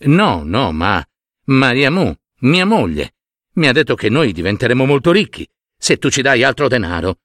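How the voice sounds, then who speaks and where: A man's voice: mid-range voice, very animated, moderate pace, fairly bright tone, no room sound, clean studio sound. A man voicing a henpecked but stubborn husband in a folk tale, with an emphatic way of repeating words. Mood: meek, hesitant. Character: henpecked husband, meek with wife, stubborn when pushed.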